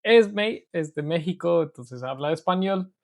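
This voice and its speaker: An American man whose first language is English, co-hosting a casual podcast as a Spanish learner and speaking carefully with pauses. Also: a little high, expressive, gravelly. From United States. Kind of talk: casual conversational podcast chat.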